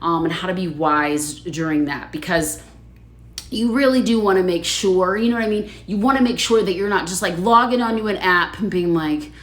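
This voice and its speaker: A woman's voice: fairly low pitch, normal speed. Chatty woman livestreaming in an echoey room. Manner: chatty, laughs often, reads viewer comments aloud. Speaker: livestream host. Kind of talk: casual livestream chat with viewers.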